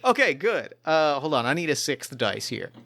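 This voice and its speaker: A man's voice: fairly high pitch, big pitch swings, normal speed, gravelly, fairly bright tone, dry recording. A man acting as game master, narrating an improvised tabletop game casually and thinking aloud in colloquial language.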